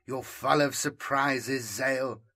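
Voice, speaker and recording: A man's voice: medium pitch, fairly even intonation, slow, pushed and bright, heavily acted. A man voicing a smug, self-assured male fugitive in an audiobook, superior and teasing in manner. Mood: amused, teasing. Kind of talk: audiobook character dialogue.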